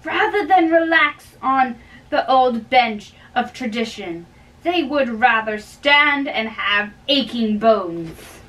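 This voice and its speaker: A young woman giving an amateur dramatic reading of a play, halting and stumbling over words, recorded by a distant camera in a bedroom. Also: on the high side, expressive, a bit bright. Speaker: amateur reader. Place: small bedroom, indoors. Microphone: far camera. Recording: distant microphone in a room.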